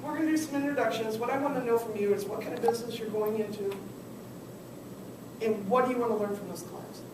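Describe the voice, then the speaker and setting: A woman's voice: a little high, fast. A woman consultant teaching a business workshop, chatty and asking the class questions, while losing her voice. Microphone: far camera. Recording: echoey room from far off, noisy.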